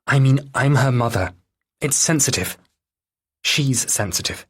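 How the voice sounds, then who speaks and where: A man's voice: medium pitch, expressive, unhurried, a bit bright, slightly rough, pitched above the performer's own voice. An audiobook portrayal of a worried mother and wife, acted by a man. The voice is lifted well above a natural male register. She pleads earnestly, with some hesitation. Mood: earnest, insistent.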